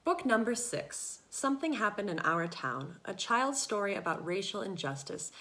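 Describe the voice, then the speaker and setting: A woman's voice: medium pitch, very animated, moderate pace, pushed and bright. A woman announcer delivering a scripted introduction.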